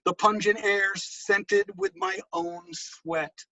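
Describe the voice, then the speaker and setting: A man reading his own poetry and introducing it, with a measured, deliberate delivery. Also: high-pitched, fairly even intonation, bright, forceful tone, dry recording, muffled recording. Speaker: poet reading own work.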